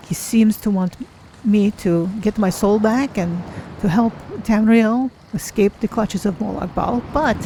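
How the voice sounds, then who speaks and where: A woman voicing a curious, cautious female Khajiit cat-person adventurer in a measured, formal way for a game roleplay. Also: medium pitch, dark tone, slight background noise, natural voice, rough recording. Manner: measured, formal, speaks of self in third person. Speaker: streamer roleplaying a character. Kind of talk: in-character game roleplay narration.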